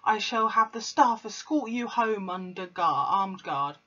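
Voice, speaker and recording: A woman reading a storybook aloud, putting on a furious, pompous bullying headmistress who rants and shouts. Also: mid-range voice, lively intonation, measured pace, muffled recording, voice pushed up. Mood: raging.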